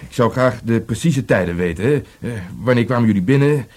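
An actor playing an awkward, dutiful police sergeant in a radio play, hesitant and stammering. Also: male voice, mid-range voice, animated, fairly quick, natural voice. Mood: insistent, businesslike.

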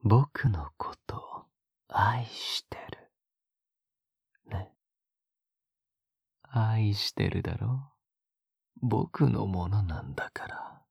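A man playing an obsessive young captor in an intimate audio drama, coaxing in a husky whisper with sweetly condescending menace. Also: lowish voice, no echo, lo-fi sound, exaggerated voice. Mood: coaxing, possessive. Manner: slow, coaxing, condescendingly gentle.

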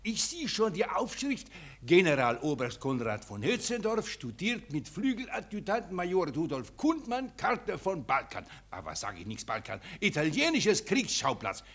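Fawning, husky foreign press photographer. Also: male voice, fairly high pitch, big pitch swings, normal speed, fairly bright tone, exaggerated voice. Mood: fussy, excited. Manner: obsequious, repetitive, fussily directing a pose. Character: fawning, pushy male press photographer with broken German. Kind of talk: 1940s German radio play acting.

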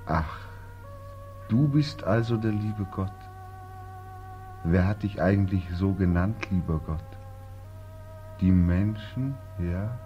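An actor playing a bitter, exhausted, despairing young soldier home from war in a 1940s German radio drama, with a weary, brooding delivery. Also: male voice, deep voice, slow, pauses often. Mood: bitter, accusing. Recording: muffled 1940s radio recording, faint music.

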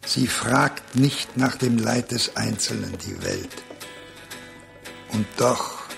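Radio novella narrator: grave, creaky mid-range voice, very unhurried, faint music behind. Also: male voice, medium pitch. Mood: solemn. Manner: solemn, deliberate. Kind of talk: measured literary radio-play narration.

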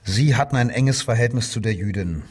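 An actor playing a bullying, sarcastic Nazi-era police commissioner interrogating a suspect in a radio crime drama, with a husky voice. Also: male voice, mid-range voice, fairly quick, far from the mic, close to an everyday voice. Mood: sneering menace. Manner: commanding, sneering, sarcastic.

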